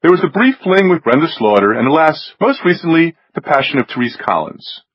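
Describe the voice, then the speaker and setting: A man's voice: fairly high pitch, sing-song, brisk, no room sound, highs cut off, pitched above the performer's own voice. A man voicing a haughty, pampered upper-class snob with precise, drawling diction, as an audiobook character. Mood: snooty, needling.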